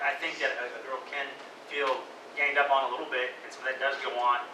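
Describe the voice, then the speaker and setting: A man's voice: mid-range voice, flat delivery, brisk. A man asking questions in a live feedback session, stumbling and repeating words. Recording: echoey room, distant mic, noise.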